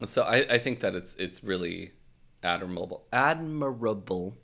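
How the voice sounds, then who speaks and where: A man's voice: on the low side, lively intonation, normal speed, creaky. A young man whose first language is Swahili, speaking thoughtfully and hesitantly in a casual podcast chat. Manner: thoughtful, hesitant, with restarts and soft laughs.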